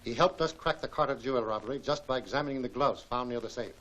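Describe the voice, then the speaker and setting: Male actor, 1940s crime serial tough: medium pitch, rapid no-nonsense delivery, slightly noisy vintage film sound. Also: a bit bright, lightly acted. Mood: brisk. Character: brisk, plain-spoken tough man in a crime serial.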